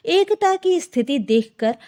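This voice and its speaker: A woman's voice: high-pitched, expressive, measured pace, warm tone. A woman narrating an emotional family story in a dramatic, scripted storytelling style.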